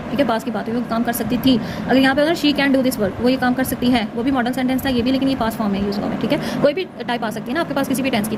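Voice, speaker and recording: High-pitched woman teaching, noisy recording. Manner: instructional, slowly enunciates example sentences, frequent check-in tags. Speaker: English grammar teacher. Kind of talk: grammar lesson, explaining examples step by step.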